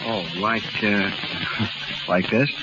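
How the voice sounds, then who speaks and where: An actor playing a bashful, naive young country lad in a 1930s radio drama, hesitant and folksy. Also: male voice, on the low side, steady tone, extremely slow, over music. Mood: bashful, eager. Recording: very noisy muffled 1930s radio recording.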